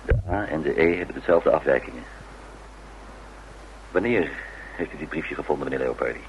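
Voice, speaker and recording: A man's voice: extremely low pitch, fairly quick, dull audio. A man acting a cool, dogged detective in a radio drama, questioning in a terse, probing and dryly formal way. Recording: noisy old radio drama recording.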